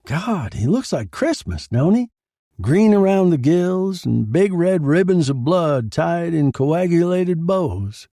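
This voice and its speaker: A man's voice: a little high, very animated, slow pace, dark tone, no room sound, studio quality, voice pushed up, exaggerated voice. A man voicing a morbid, flippant coroner who relishes his grisly work, in a noir crime drama. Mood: proud glee.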